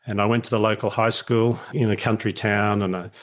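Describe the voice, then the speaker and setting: Low-voiced Australian man, reflective guest. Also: little pitch movement, brisk. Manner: reflective, explains at length with small restarts. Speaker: guest, leadership educator. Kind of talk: casual interview over a call.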